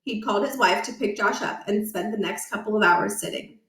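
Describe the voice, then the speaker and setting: A woman narrating a children's book aloud in an expressive storytelling style. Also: mid-range voice, fairly quick, roomy sound, low-quality recording.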